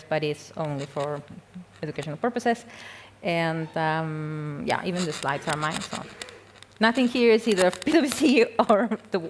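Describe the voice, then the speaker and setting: A woman's voice: on the low side, slight background noise. Chatty woman at a conference. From Peru. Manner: chatty, self-deprecating, with frequent fillers and laughs. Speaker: conference speaker. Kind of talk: conference talk. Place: conference hall.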